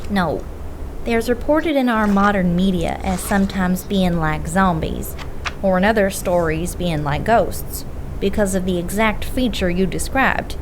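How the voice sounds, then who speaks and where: A woman's voice: mid-range voice, noisy background, close to an everyday voice. A woman playing a stern female investigator who knows supernatural lore, explaining firmly in an audio drama. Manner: lecturing, firm. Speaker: occult expert investigator.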